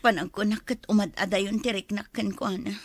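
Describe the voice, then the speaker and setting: A woman's voice: medium pitch, steady tone, a little fast, dry recording, studio quality, lightly acted. A woman acting in an Ilocano radio drama as a hurt, suspicious wife and mother, with an Ilocano Filipino accent. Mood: downcast, confiding.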